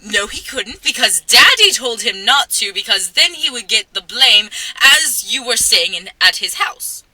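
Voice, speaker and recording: A woman's voice: a little high, expressive, a little slow, sharp tone, no room sound, heavily acted, rough recording. A woman voicing a spoiled, pompous rich schoolboy who pleads and schemes in a theatrical manner. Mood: smug, persuading.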